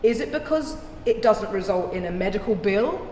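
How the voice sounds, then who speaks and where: A woman playing an impassioned trial lawyer, addressing a jury with deliberate, rhetorical persuasion in a courtroom dramatization. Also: medium pitch, expressive, a little mellow, lightly acted. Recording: echoey hall with faint noise.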